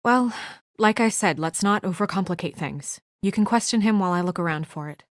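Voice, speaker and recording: An actress as a serious young woman investigator in a noir fantasy game. She is restrained and businesslike, with a dry edge, in a medium-pitched voice. The recording is close and free of room sound. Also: a little fast, no room sound, natural voice. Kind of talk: video game voice acting, naturalistic dramatic dialogue.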